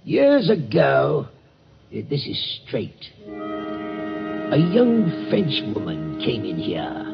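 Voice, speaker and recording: A man's voice: medium pitch, huge pitch swings, drawn-out words, long pauses, full character voice. An actor playing a nervous old male night watchman at a waxworks, stammering and hesitant, in a 1940s radio drama. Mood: hushed storytelling. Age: older. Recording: dull old radio recording with music.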